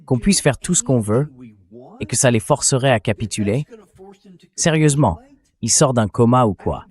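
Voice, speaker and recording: A man's voice: medium pitch, animated, normal speed, a little mellow. A man hosting a political commentary show in a French translated voice-over, speaking critically with incredulous rhetorical turns.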